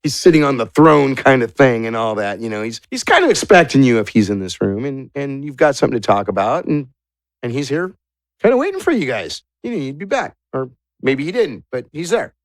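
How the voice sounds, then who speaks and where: A man narrating a tabletop game as game master, searching for words in drawn-out descriptive phrases. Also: mid-range voice, big pitch swings, no room sound.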